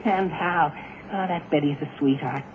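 A man's voice: fairly high pitch, normal speed, close to an everyday voice. Guy doing a flustered teen boy from a 40s radio comedy, fretful n gushy, super tinny n noisy. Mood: relieved. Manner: fretful, gushing, exclamatory. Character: excitable, flustered teenage boy, late for school. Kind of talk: 1940s radio comedy acting.

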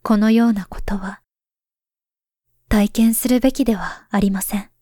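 A woman voicing a grown woman who writes to her past self, speaking softly and gravely, often near a whisper. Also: mid-range voice, steady tone, unhurried, no room sound, clean studio sound, natural voice. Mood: earnest. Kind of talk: voice drama letter monologue.

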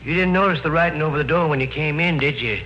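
A man's voice: fairly high pitch, fast talker, close to an everyday voice. An actor playing a folksy, foreboding rustic local man in an old-time radio horror drama. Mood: ominous, foreboding. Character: ominous rustic local man warning trespassers. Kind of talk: 1940s-50s radio horror drama acting. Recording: muffled old-time radio recording with hiss.

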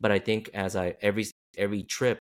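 Very deep-voiced man hosting an interview. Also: flat delivery, clear, resonant tone. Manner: halting, repeats words while forming questions. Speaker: host. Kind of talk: casual interview chat.

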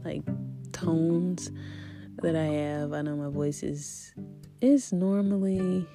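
Female podcast host, low gravelly voice, casual and encouraging, guitar bed. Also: slow pace. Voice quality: deep voice. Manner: rambling, faith-filled, soft laughs. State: recovering voice after illness. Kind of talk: casual spiritual podcast chat.

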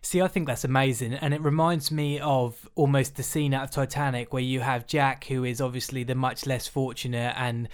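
A man's voice: mid-range voice, steady tone, normal speed, few pauses, smooth. A young man hosting a podcast, asking questions with enthusiasm and plenty of "you know" and "like". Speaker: podcast host. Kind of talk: casual interview.

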